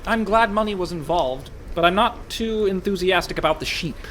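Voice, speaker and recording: A man's voice: sits high, animated, moderate pace, a bit bright, a little hiss or hum. An actor in a comic radio play, playing a sardonic, fretful con man posing as a pirate merchant. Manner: dry, exasperated, worrying.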